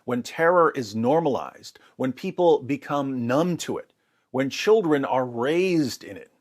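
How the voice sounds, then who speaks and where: A man delivering scripted commentary as a host, in an emphatic, deliberate, rhetorical manner. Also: mid-range voice, lively intonation.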